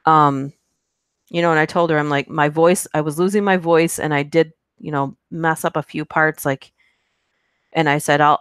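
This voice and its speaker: Woman with a low voice, chatty and giggly on a live stream, dry close mic. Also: clean tone, no room sound. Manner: chatty, giggly, rambling with many fillers. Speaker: horror narration channel host. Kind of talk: casual live-stream chat reading comments.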